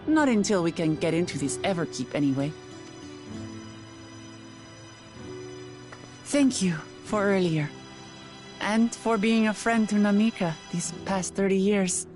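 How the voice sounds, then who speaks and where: A woman's voice: mid-range voice, moderate pace, lightly acted. Woman acting blunt young warrior, music behind. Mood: sincere. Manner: blunt, earnest. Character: determined young warrior seeking vengeance, blunt but sincere. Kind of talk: scripted video game acting.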